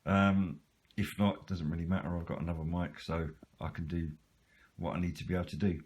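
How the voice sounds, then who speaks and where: A British man giving a casual, unscripted hobby video walkthrough in a hesitant, halting way. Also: sits low, little pitch movement, a little mellow.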